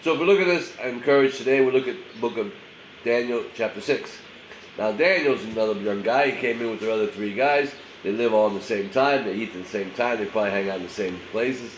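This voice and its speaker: A man's voice: fairly low pitch, a little fast. Male pastor, nasal from a head cold, casual filler-heavy preaching; echoey room, faint hum. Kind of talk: short daily sermon.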